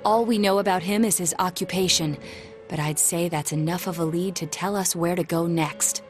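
Game role: young detective genius female actor, low register, cool-headed, formal, precise, logical; music bed. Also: fairly even intonation, normal speed, natural voice. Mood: focused. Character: calm, analytical young detective in a teenage group. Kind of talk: video game voice acting. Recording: music under the dialogue.

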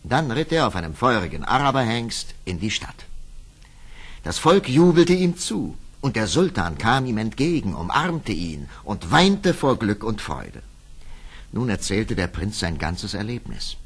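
Fairy-tale narrator: man, lowish husky voice, lively and expressive storytelling. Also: unhurried. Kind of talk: scripted fairy-tale narration for an audio play.

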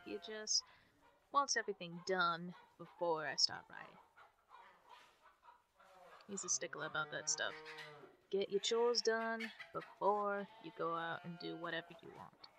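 Woman playing a creaky-voiced, easygoing young cowgirl. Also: on the low side, a little slow, sharp tone, low-quality recording. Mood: relaxed, friendly. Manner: folksy, drawling phrasing with ain't and gotta. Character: easygoing young cowgirl ranch hand showing newcomer around. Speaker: ASMR roleplay performer. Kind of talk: ASMR roleplay, one-sided chat. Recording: background noise with farm animal ambience.

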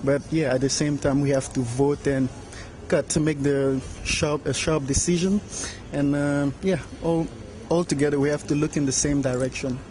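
A man's voice: fairly high pitch, steady tone, moderate pace, few pauses, mellow, a little hiss or hum. A young man from Cameroon, a university student, answering fluently with lots of "you know" into a handheld mic. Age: young adult. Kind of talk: casual interview answers. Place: large bright room at a game jam. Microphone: handheld mic held by interviewer.

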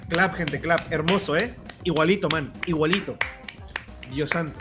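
A man, loud and teasing as he banters during a game session. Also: fairly high pitch, moderate pace, loud music behind the voice. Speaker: co-player. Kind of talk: casual banter while playing a game.

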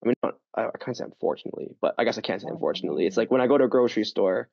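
A man's voice: fairly low pitch, animated, racing, creaky, dry recording, dull audio. A young man from Canada, a guest on a video-call interview, talking casually with lots of fillers and restarts. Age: young adult. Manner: chatty, many fillers and restarts. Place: small room indoors. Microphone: headset on a video call.

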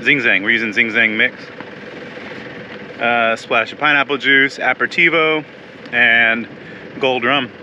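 A man in his forties chatting casually to camera as a sailing vlog host, relaxed and easygoing. He is outdoors on a sailboat at sea, filmed on a close handheld action camera. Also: mid-range voice, highs cut off, background noise. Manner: relaxed, chatty, laughs easily. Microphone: action camera held close at arm's length.

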